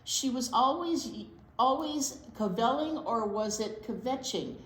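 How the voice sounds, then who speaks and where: A woman reading a picture book aloud to young children in an expressive storytime style, pausing to wonder aloud. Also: on the high side. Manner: animated, pauses to wonder aloud and question young listeners. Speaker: children's storytime reader at a library. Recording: roomy echo with clear background noise.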